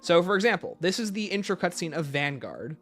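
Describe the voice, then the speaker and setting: A man's voice: high voice, sing-song, fairly quick, a bit bright, faint music behind. A man hosting a livestream, explaining and answering viewer questions off the cuff. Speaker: livestream host.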